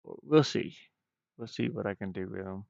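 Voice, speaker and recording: A low-voiced man talks casually to his livestream while working on a game. His delivery is unhurried and halting, with a gravelly creak, often trailing off. The sound is dry and a bit muffled. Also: darkish tone, dry recording. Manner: hesitant, halting, frequently trailing off. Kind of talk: casual stream chat. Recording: muffled, dull low-fidelity stream audio.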